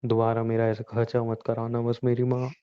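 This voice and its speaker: A man's voice: mid-range voice, completely flat delivery, brisk, clean tone. A young man chatting casually as a boyfriend, in a teasing, pushy, informal manner. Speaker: boyfriend in a couple's conversation. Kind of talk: casual intimate couple chat in Hindi. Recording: dull, lo-fi call-style recording.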